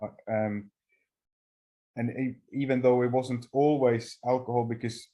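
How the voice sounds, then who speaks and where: A middle-aged man from Finland, a native Swedish speaker, telling his personal recovery story at a meeting with halting pauses and restarts. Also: medium pitch, fairly even intonation, mellow. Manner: halting, frequent restarts and fillers. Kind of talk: personal testimony talk.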